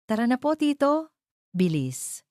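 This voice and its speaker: A woman's voice: a little high, big pitch swings, a little slow, dark tone, dry recording, studio quality, voice pushed up. A woman voicing an eager, polite young boy in an audio love drama. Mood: excited.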